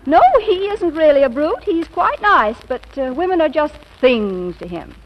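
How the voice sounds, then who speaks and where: An actress playing a sharp-witted, possessive secretary in a 1940s radio comedy, with a brisk, arch, scolding way of talking. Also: female voice, high voice, lively intonation, muffled recording. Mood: brisk, pointed. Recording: noisy, hissy old radio broadcast.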